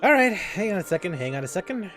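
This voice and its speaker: A man's voice: high-pitched, very animated, fast, fairly bright tone. A man giving casual, sweary commentary as he streams a game, reactive and exclamatory. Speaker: gaming streamer. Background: game music.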